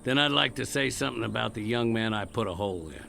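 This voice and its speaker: A man's voice: mid-range voice, lively intonation, fast talker, gravelly, sharp tone, soft background music, heavily acted. A man acting a defiant, remorseless condemned cowboy in a Western audio drama, with a sardonic, cocky, folksy way of talking. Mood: defiant, mocking.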